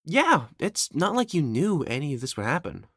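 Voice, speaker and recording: Lady doing a cheerful young monster gamer, super lively voice, eager, dry close recording. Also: low voice, moderate pace, breathy edge, no room sound, heavily acted. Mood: supportive. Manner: eager, enthusiastic. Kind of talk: acted audio roleplay.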